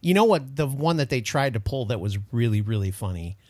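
A young man from Detroit hosting a casual podcast chat, excitable and laughing as he tells stories. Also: medium pitch, fairly quick. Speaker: podcast host.

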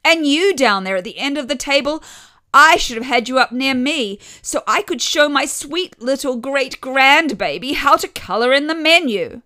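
A woman's voice: high-pitched, lively intonation, moderate pace, pushed and bright, no room sound, studio quality, pitched above the performer's own voice. A woman voicing an overbearing, self-centred mother in her seventies in a story, barking and gushing theatrically. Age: 70s. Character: loud, overbearing elderly Southern mother, self-centred and nagging. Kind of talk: acted storytelling.

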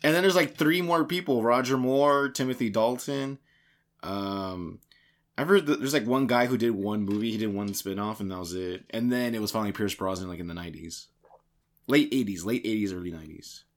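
Guy hosting a podcast, lowish voice kinda raspy still recovering, rambly chat in his game room. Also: fairly quick. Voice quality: slightly raspy. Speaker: podcast co-host introducing the show.

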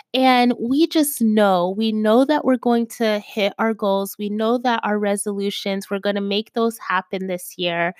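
Slow-talking, chatty woman vlogger. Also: a little high, no room sound, clean studio sound. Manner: chatty, keeps asking "right?" and "you guys". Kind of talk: casual motivational talk to viewers.

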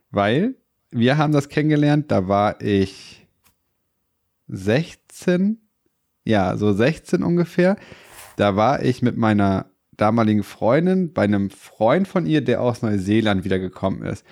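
Guy, low gravelly voice, bit congested, just chatting and rambling on. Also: measured pace. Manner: relaxed, rambling storyteller. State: slightly congested from a cold. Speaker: podcast co-host. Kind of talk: casual chat.

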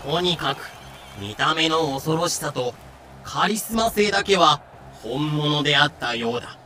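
Bright, measured male narrator. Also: a little high, lively intonation. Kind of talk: scripted dramatic narration.

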